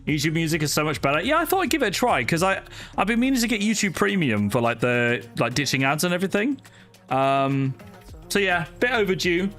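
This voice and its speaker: A man's voice: fairly high pitch, very animated, brisk, fairly bright tone. Chatty young man streaming, music behind. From not from Wales. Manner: chatty, greets chat by name, frequent fillers. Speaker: sim racing streamer. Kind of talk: casual live-stream chat. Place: home streaming setup. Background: music playing.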